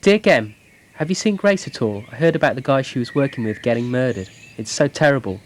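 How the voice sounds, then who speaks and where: A man reading personal letters aloud in a brisk, conversational way. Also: medium pitch, expressive.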